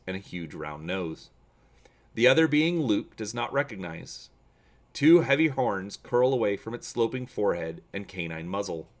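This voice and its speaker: A man reading an adventure gamebook aloud as a channel host, with measured, theatrical, deliberate phrasing. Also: lowish voice. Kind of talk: dramatic audiobook-style reading of an adventure gamebook.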